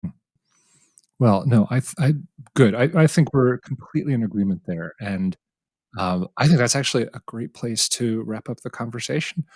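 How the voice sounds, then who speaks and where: Husky male podcast host, dry sound. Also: medium pitch, animated, a little mellow, dry recording. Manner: halting, many restarts, thinking aloud. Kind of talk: conversational interview.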